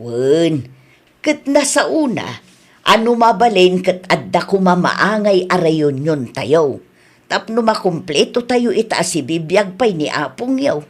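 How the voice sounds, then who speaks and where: Woman as caring mother, middle-aged, Ilocano Filipino accent, animated melody, radio drama. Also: on the low side, unhurried. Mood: reassuring.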